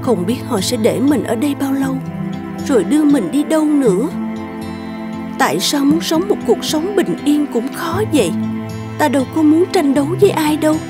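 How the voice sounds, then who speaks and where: A gentle, modest young fairy-tale maiden. She speaks fairly high, with demure, humble politeness. Music is clearly heard behind her. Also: expressive, normal speed. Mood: sorrowful. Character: gentle, modest, sorrowful young beauty, former courtesan. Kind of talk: animated fairy-tale film dubbing.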